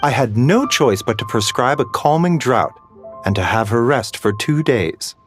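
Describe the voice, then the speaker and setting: Actor as a gentle, lilting male physician. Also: medium pitch, expressive, soft background music, lightly acted. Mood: calm, courteous. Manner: gentle, measured, courteous. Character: Doctor Baizhu, gentle, unhurried, courteous male physician. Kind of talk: video game voice acting.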